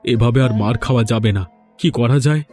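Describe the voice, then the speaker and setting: Man acting put-upon village fisherman, mellow dark voice, fed up yet determined, cartoon dialogue, faint music. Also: medium pitch, normal speed, close to an everyday voice. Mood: troubled, fed up. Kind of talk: animated folk-tale dialogue.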